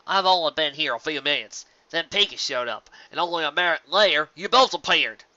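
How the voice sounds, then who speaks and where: Narrator putting on a steady, forceful farm mare. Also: mid-range voice, a little fast, dry recording, muffled recording. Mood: reassuring. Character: steady, practical female farm pony, folksy. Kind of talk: fan fiction character voice.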